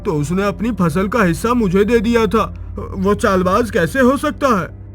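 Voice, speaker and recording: A man's voice: high voice, measured pace, fairly bright tone, soft background music. A man playing a plain-spoken, earnest village farmer in a Hindi moral cartoon story. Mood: doubtful, loyal.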